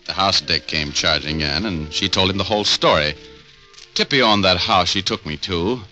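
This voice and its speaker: A sardonic hard-boiled private eye. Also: male voice, low-pitched, normal speed, pushed and bright, soft background music. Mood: wry. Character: wisecracking tough male private eye. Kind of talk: 1940s radio acting.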